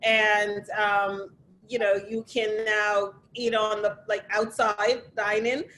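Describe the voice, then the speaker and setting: A woman hosting an interview over a video call, upbeat and welcoming, thanking her guest warmly. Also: medium pitch, level tone, slow pace, slight background noise, low-quality recording. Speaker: host. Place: New York City.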